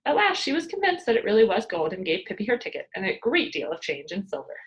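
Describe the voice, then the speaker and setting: A woman reading a children's story aloud like a teacher, expressive and dramatic. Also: medium pitch, quick pace.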